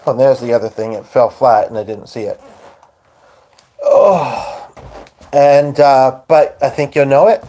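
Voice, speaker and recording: A man's voice: mid-range voice, fairly even intonation, unhurried, pauses often, warm tone. Rambling man live-streaming from his piano. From Hungarian. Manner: rambling, talks to viewers while setting up. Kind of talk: casual live-stream chat. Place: at home by a piano. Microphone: phone.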